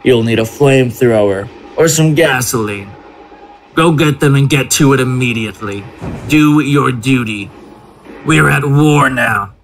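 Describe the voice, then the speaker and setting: An actor playing a cold, ruthless commander in an audio drama, with a clipped, menacing, commanding delivery. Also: male voice, medium pitch, a little slow, lightly acted. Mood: grim, commanding. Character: cold, ruthless male commander passing sentence.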